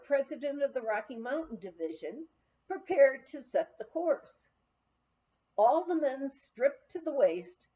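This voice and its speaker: A woman reading a first-person memoir aloud in a measured storytelling manner with expressive emphasis, on a dull, slightly muffled recording. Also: on the high side. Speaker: audiobook reader of a memoir.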